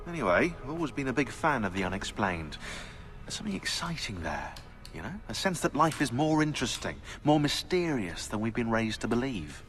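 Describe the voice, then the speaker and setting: An actor playing a sardonic, eccentric British conspiracy researcher turned assassin in a video game, chatty, opinionated and sarcastic. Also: male voice, medium pitch, sing-song, a little fast, fairly bright tone. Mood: earnest, reflective. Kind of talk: game cutscene dialogue.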